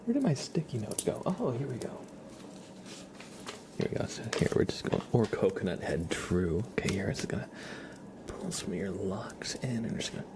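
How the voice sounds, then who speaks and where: A man livestreaming ASMR, soft-spoken and playful, slipping between a murmur and a whisper as he chats and roleplays. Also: fairly low pitch, huge pitch swings, normal speed, noisy background. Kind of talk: ASMR live chat with roleplay, partly whispered. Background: scissor sounds.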